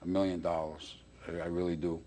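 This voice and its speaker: A man's voice: low-pitched, flat delivery, a little fast, a crowd in the background. A middle-aged man. He talks casually, like a comedian guesting on a chat show. He is enthusiastic and repeats phrases to drive them home. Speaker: guest, stand-up comedian and booker.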